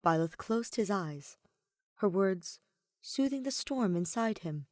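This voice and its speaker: A woman reading fan fiction as scripted dramatic narration, soft, measured and expressive. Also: medium pitch, no room sound, studio quality. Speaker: fan fiction audiobook reader.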